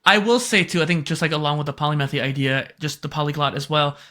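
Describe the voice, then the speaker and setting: A male podcast host speaks quickly in an upbeat, chatty manner. His tone is bright and forward, and he slips in playful jokes between his questions. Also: a little high, steady tone. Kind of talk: casual podcast conversation.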